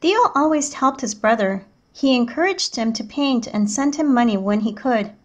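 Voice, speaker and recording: A woman reading a picture book aloud as a teacher, in measured, clearly enunciated storytelling narration. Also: fairly high pitch, expressive.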